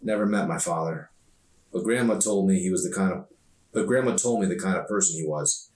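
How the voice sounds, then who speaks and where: A man's voice: low voice, brisk, a little mellow, close to an everyday voice. A man voicing a guarded, awkward, lonely teenage boy in understated storybook dialogue. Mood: subdued. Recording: roomy echo, distant microphone.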